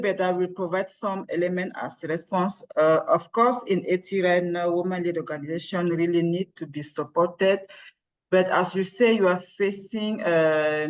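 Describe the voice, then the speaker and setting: A woman presenter answering on a video call, hesitant with many fillers and non-native phrasing. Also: lowish voice.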